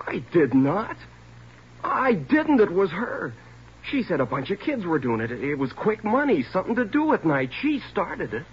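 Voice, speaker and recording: An actor playing a frightened twenty-year-old burglary suspect in a 1940s radio drama, pleading, evasive and stammering. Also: male voice, high-pitched, wildly swooping pitch, normal speed, husky, full character voice. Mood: indignant protest. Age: young adult. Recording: noisy, muffled 1940s radio recording.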